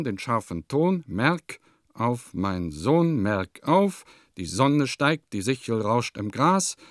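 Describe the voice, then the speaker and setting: A man's voice: mid-range voice, no room sound, clean studio sound. An actor playing an eccentric composer who muses in clipped notes and declaims his verses in a radio play. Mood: declamatory.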